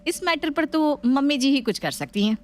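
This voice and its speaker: A woman's voice: sits high, lively intonation, normal speed, pitched above the performer's own voice. A woman voicing a capable, bossy young married sister-in-law who gives instructions in a family story. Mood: teasing.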